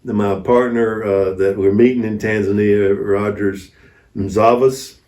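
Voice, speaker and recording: Low voiced guy telling folksy stories in an interview, roomy and kinda far from the mic. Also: fairly even intonation, slow pace, darkish tone. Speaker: interview guest, missionary preacher. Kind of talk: conversational storytelling. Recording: echoey room, distant mic.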